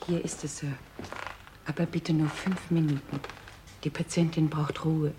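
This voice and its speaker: An actress playing a protective hospital nurse, hushed and firm, in a 1960s German crime radio play. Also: female voice, sits low, flat delivery, a little slow. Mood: brisk, concerned. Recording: faintly noisy 1960s radio recording.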